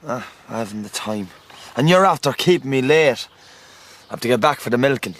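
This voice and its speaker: An actor playing a devoted young Irish farm labourer, plaintive and hesitant, in a radio drama with background noise. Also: male voice, mid-range voice, expressive, fairly quick, fairly bright tone. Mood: pleading. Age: young adult. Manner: hesitant, pleading.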